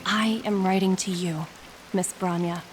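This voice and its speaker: A woman voicing a composed corporate agent in a video game, reading a formal, earnest and persuasive letter in a measured way. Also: lowish voice, steady tone, a little hiss or hum, lightly acted, rough recording. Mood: earnest, resolute. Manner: measured, formal, deliberate phrasing. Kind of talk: scripted game letter monologue. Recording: background music under the voice.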